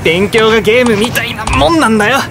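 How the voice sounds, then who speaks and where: An actor playing an easygoing, teasing teenage schoolboy in an anime-style voice drama, with casual boyish speech. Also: male voice, very high-pitched, quick pace. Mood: teasing, animated.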